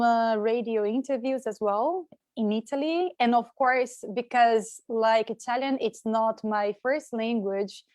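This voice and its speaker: A woman's voice: a little high, darkish tone, no echo, studio quality. A young adult woman from Brazil, a native Portuguese speaker, talking hesitantly in an interview with many fillers and repeated words. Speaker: guest. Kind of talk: casual interview talk.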